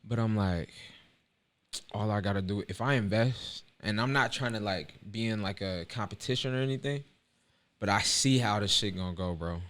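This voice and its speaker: A man's voice: on the low side, normal speed, a bit bright. A young man in his twenties speaking earnestly in casual slang on a podcast. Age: 20s. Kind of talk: casual chat.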